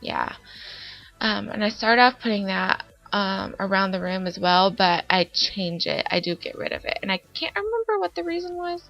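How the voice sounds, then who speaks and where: A woman's voice: medium pitch, lively intonation, moderate pace, creaky, fairly bright tone. A young woman, congested from a summer cold, chatting casually through a voice-over of her game build with lots of fillers. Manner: chatty, rambling with many fillers. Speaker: Sims building YouTuber. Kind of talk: casual voice-over narration of gameplay. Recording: dull lo-fi voice-over with faint background music.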